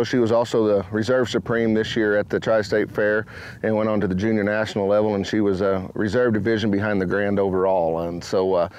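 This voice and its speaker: A man in his forties speaks quickly in a low, smooth, resonant voice. He sounds eager and grateful. His outdoor lapel sound is close and dry. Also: darkish tone, no room sound. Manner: eager, grateful, piles up results. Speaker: cattle customer, parent. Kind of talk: interview. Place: outdoors on open grassland.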